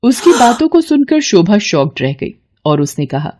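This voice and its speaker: A woman's voice: mid-range voice, very animated, normal speed, mellow. A woman reading a scripted, dramatic story as its narrator.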